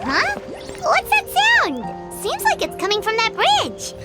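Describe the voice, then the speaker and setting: Squeaky little game fairy: extremely high, wildly animated, earnest and fretful, game music underneath. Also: female voice, slow, full character voice. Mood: puzzled. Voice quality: squeaky, childlike. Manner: expressive, inquisitive. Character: small childlike female floating fairy companion, curious, anxious, earnest. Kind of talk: video game voice acting.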